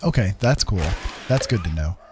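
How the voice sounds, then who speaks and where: A man with a fairly low voice talks casually on a gaming livestream. He rambles as he thinks aloud, circling back over his phrases, with clear game music behind him. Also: animated, moderate pace. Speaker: game streamer.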